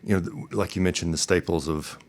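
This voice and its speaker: A man's voice: low-pitched, steady tone, fairly quick. A man hosting an interview podcast, introducing and questioning a guest. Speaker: podcast host.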